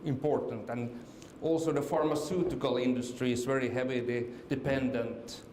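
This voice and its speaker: A middle-aged man from Finland gives a conference talk, stopping often with ums and repeated words. His mid-pitched voice rings around a large echoey hall. A faint hiss sits under the speech. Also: fairly even intonation, moderate pace, darkish tone. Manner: hesitant, many fillers and restarts. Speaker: conference speaker. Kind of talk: conference presentation.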